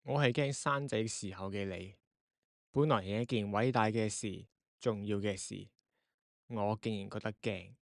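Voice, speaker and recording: Radio drama husband male actor: low, earnest voice, evasive and remorseful, recorded close and dry. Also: a little slow, creaky, no echo. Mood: earnest, guilty. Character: guilty, evasive husband confessing his secrets. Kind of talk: Cantonese radio drama acting.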